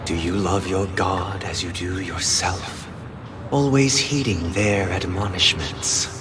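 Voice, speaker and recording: An actor playing a grave ritual inquisitor in a video game, speaking in a stern, ceremonial, deliberate way. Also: male voice, sits low. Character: grave male ritual inquisitor administering holy oaths. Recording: loud music behind the voice. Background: loud game music.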